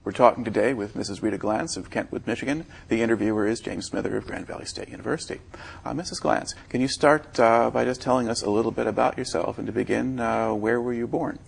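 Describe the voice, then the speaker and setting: A man's voice: mid-range voice, quick pace, creaky, slight background noise. A man interviewing an older guest for an oral history program, asking short questions and prompting her to go on.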